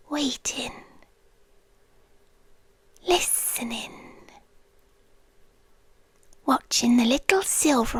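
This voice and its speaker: Slow, high British yandere girlfriend acted by a woman. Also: a bit bright, no room sound, full character voice. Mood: hushed, obsessive. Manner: slow, breathy, lingering on short phrases with pauses. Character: obsessive yandere girlfriend, creepily devoted young woman stalker. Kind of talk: intimate ASMR roleplay, drifting in and out of whispers. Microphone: close microphone, dry intimate recording.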